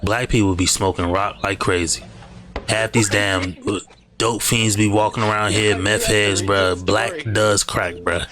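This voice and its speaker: A man's voice: on the low side, fairly even intonation, unhurried, noisy background. A man hosting a reaction video, giving blunt, slangy commentary on clips and repeating "bruh" a lot. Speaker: reaction host. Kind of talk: casual commentary over clips.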